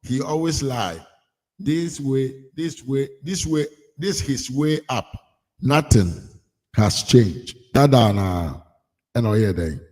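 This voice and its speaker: A man's voice: medium pitch, takes it slowly, darkish tone. A Ghanaian man hosting a live show, reading out viewers' comments one after another and mixing Twi with English. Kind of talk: casual live-stream chat.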